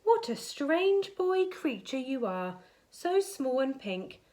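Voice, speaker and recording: A woman voicing a friendly, greedy cheese monster from a children's storybook, explaining things slowly and patiently. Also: sits high, big pitch swings, dark tone, voice pushed up, heavily acted. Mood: amazed.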